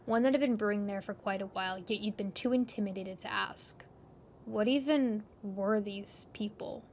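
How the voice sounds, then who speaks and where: A woman's voice: mid-range voice, moderate pace, darkish tone, a little hiss or hum. A woman narrator reading a scripted second-person story.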